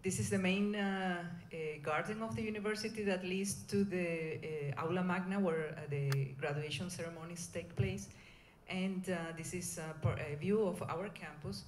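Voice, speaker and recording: A middle-aged woman with a Venezuelan Spanish accent gives an informal conference talk, speaking in a hesitant, halting way. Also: fairly low pitch, steady tone. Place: indoor conference room. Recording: echoey room, clear background noise.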